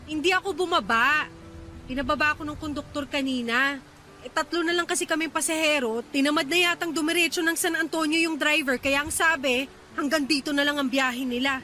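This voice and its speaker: A woman's voice: high voice, a little fast, bright, forceful tone, faint music behind. A woman playing a young woman stranded at night after a bus cut its route, complaining in exasperation in a radio drama. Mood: exasperated.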